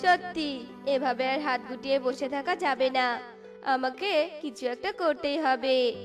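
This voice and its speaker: A woman's voice: high-pitched, unhurried, over music. A woman voicing a humble, hardworking male bird who sells snacks. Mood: hopeful. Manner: pleading, hawking cheerfully.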